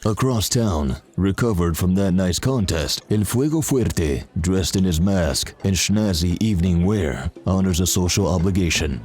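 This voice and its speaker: An actor playing the dramatic storyteller of a pulp adventure audio drama, reading scripted narration. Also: male voice, deep voice, animated, measured pace, hardly any pauses, darkish tone, breathy edge, full character voice.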